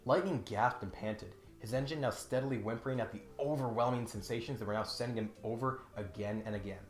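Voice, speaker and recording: A man's voice: medium pitch, quick pace. A man hosting a comedic critique, narrating from a script in a mock-serious way with exasperated, sardonic asides. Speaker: host of a comedic critique video. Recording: roomy sound with faint music behind. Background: faint music.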